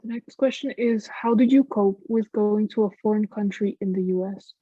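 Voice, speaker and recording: A woman's voice: mid-range voice, normal speed, mellow, husky, no echo. Woman interviewer on a dull, dry line. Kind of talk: reading out audience questions.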